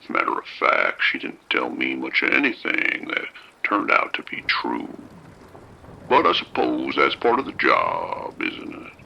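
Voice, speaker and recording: A man playing a calm, soft-spoken abductor in an audio drama, with a gravelly, whispery voice and a slow, coaxing manner. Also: rumbling low, highs cut off, slight background noise, full character voice. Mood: musing.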